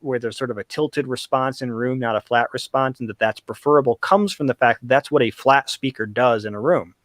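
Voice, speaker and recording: A man's voice: medium pitch, a bit bright, dry recording. A man giving detailed technical explanations in conversation as an expert guest, carefully qualifying his points.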